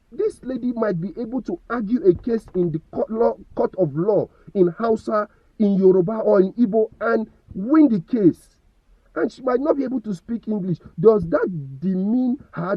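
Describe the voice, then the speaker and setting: A man's voice: very high-pitched, no room sound, dull audio. A Nigerian man giving impassioned opinion commentary, firing rhetorical questions and stumbling over repeated words.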